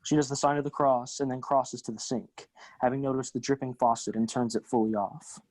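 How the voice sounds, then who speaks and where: A man reading stage directions as scripted narration, in measured, neutral, deliberate phrases. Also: medium pitch, flat delivery, darkish tone, dry recording, low-quality recording.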